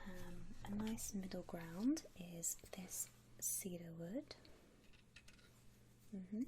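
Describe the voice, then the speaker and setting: A woman whispering breathily in an ASMR roleplay as a polite shop assistant, gently reassuring a customer with hesitant, accommodating patter. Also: mid-range voice, slight background noise. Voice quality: breathy, mostly unvoiced whisper. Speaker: ASMR roleplayer playing a coffin shop saleswoman.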